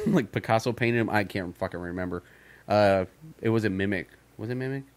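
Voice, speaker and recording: A man's voice: fairly low pitch, fairly quick. A man co-hosting a podcast, rambling and swearing in casual chat about comics, often restarting his sentences. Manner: rambling, profane, many restarts. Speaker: podcast co-host.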